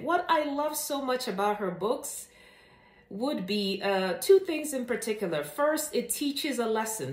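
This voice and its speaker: Expressive woman reading aloud, echoey room. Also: medium pitch. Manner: expressive, enthusiastic, deliberate storytelling. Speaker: book reviewer and children's read-aloud host. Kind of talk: scripted-sounding channel introduction and animated children's story reading.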